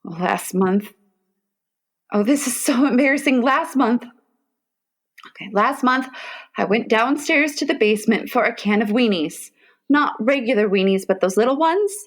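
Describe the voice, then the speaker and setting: A woman's voice: on the high side, expressive, normal speed. A woman playing a fretful, long-suffering mother of grown sons in a comedic radio play, exasperated and melodramatic. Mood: flustered, embarrassed.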